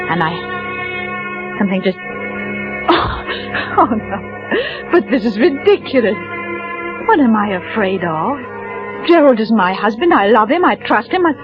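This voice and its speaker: An actress playing an uneasy young wife who narrates her story, speaking hesitantly with restarts, in a 1940s radio drama. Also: mid-range voice. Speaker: narrator and lead character. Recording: dull lo-fi 1940s radio with music.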